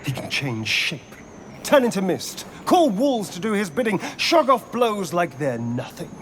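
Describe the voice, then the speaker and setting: An actor voicing a haughty, anxious vampire spawn on the run in a video game, theatrical and sardonic. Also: male voice, high voice, big pitch swings, measured pace, a little hiss or hum. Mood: agitated scorn.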